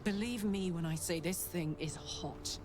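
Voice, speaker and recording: A woman's voice: fairly low pitch, a little slow, background noise, close to an everyday voice. A woman playing a brash, hot-blooded warrior in a video game, blunt, swaggering and sardonic. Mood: hopeful, frank. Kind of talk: video game dialogue acting. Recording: game dialogue with music behind.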